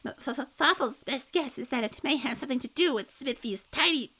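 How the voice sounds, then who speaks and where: A man's voice: very high-pitched, animated, a little fast, sharp tone, heavily acted. A man voicing a nervous, obedient male minion who speaks of himself in third person and stammers, in a storybook reading. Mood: timid. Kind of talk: storybook reading with character voices.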